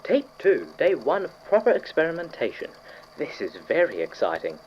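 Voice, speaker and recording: A man playing an eager, obsessive scientist recording precise, fussy investigation notes for an audio drama on degraded cassette. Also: fairly high pitch. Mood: excited. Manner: fussy, precise, excitable, with trailing pauses. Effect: degraded old cassette tape. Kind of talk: audio drama found-tape monologue. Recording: muffled, noisy old cassette tape.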